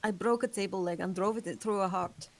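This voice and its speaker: A woman's voice: mid-range voice, fairly quick, background noise, close to an everyday voice. A woman reading the part of an ageless female vampire, guarded and remorseful, in a table read. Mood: somber.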